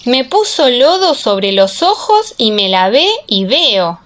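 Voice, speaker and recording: A woman's voice: a little high, sing-song, slow pace, a bit bright, full character voice. Woman voicing earnest, plain-spoken beggar man. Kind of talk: audiobook radio-theatre dialogue.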